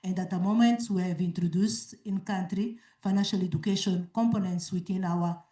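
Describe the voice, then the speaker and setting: A woman answering as a conference panelist, in a measured way that repeats phrases for emphasis. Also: on the low side, steady tone, dark tone. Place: conference auditorium. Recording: echoey hall mic from a distance.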